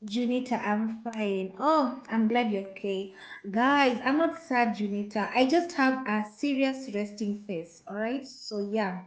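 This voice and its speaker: A woman's voice: on the high side, low-quality recording. A woman from Kenya with a Kenyan accent, chatting casually with viewers on her live stream and often repeating herself. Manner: slow, repeats phrases, reads out viewer comments. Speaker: livestream host.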